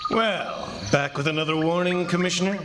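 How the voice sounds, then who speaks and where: A man playing a smug, confident and domineering plantation owner in a 1940s-style radio drama, with a brisk, commanding manner. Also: sits high, natural voice. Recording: noisy stage recording with clicks.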